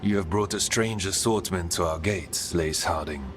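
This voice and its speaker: Man playing a low, solemn dwarf guide. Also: close to an everyday voice. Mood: formal, guarded. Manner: formal, measured, cryptic phrasing. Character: solemn, guarded male dwarf guide of an underground city. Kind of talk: video game voice acting. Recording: music behind the voice. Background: game soundtrack music.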